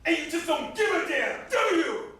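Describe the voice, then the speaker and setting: A man's voice: extremely high pitch, animated, normal speed, sharp tone, heavily acted. A man acting in an amateur stage play as an enraged young avenger, agitated and confrontational, confronting a killer. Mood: furious. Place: indoor stage performance, recorded from a distance. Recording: very echoey room from far off.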